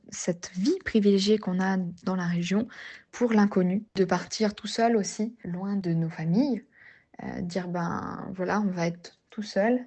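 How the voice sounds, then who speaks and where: A woman's voice: mid-range voice, warm tone. Hesitant woman, reflective. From Geneva region, Switzerland. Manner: reflective, many hesitations and restarts. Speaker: podcast guest. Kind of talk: personal story in a podcast interview.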